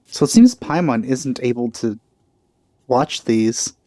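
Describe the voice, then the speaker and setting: A man's voice: medium pitch, lively intonation, takes it slowly, dark tone. A man hosting a let's play, giving casual, musing commentary as he reacts.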